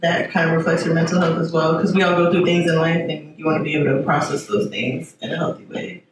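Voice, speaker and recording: A man's voice: on the high side, fairly even intonation, quick pace. A man in his twenties from Los Angeles, talking casually as a panelist in a group discussion. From Los Angeles, United States. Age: 20s. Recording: very echoey, distant mic, noisy.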